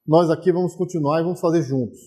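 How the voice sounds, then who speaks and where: Teacher explaining step by step. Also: male voice, on the high side, fast talker. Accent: Brazilian Portuguese. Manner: explains step by step, checks in with viewers. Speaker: programming teacher. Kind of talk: recorded distance-learning lesson.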